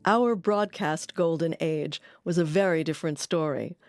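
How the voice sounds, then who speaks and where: A woman's voice: low voice, moderate pace, darkish tone, no echo, clean studio sound, soft background music. Woman narrating a radio history documentary.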